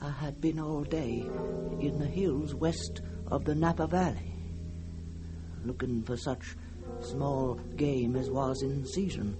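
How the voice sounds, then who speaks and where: An actor playing a haunted, guilt-ridden man who recounts a nightmare in a halting, stammering, theatrical way, as in an old-time radio mystery. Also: mid-range voice, fairly even intonation, lightly acted. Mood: brooding, halting. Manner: halting, stammering, theatrical delivery with repeated words. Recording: old radio drama with music underneath.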